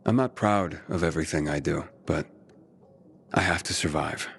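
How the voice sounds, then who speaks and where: An actor playing a plain-spoken, honest young male survivor who talks in a low-key, candid way. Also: normal speed, creaky, fairly bright tone, husky, heavily acted.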